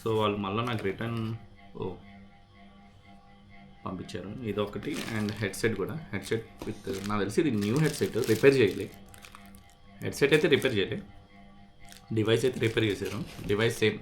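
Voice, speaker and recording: A man's voice: on the low side, moderate pace, long pauses, soft background music. A man talking casually as a vlogger, explaining things and mixing in English words. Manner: mixes English words into his speech. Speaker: vlogger sharing a product repair experience. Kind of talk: casual explanatory vlog with unboxing.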